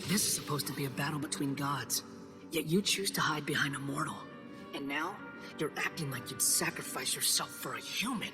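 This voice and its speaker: A man's voice: a little high, fairly quick, close to an everyday voice. A man playing an arrogant, scornful newborn god in a video game, theatrical and grandiose, with a sneering manner. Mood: contemptuous. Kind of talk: English video game cutscene voice acting. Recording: music playing behind the voice.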